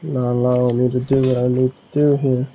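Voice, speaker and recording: A man's voice: medium pitch, a little fast, slightly rough, low-quality recording. An American man casually talking through his game build as a streamer, muttering to himself and chatting with viewers. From United States. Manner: relaxed, talks to himself while building, chats with viewers. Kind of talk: casual gameplay commentary.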